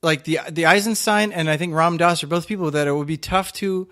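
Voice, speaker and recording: A man with a fairly high voice chats casually as a podcast co-host. He sounds earnest and pokes fun at himself. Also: fairly even intonation, brisk, pushed and bright. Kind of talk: casual chat reviewing a podcast.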